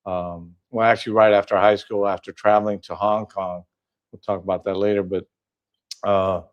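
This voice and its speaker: An older man with a fairly low voice talks as a guest in a relaxed interview. He is from Southern California, and he pauses often with "uh" and restarts his sentences as he goes. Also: clean tone, lo-fi sound. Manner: hesitant, many fillers and restarts. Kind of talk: casual interview chat.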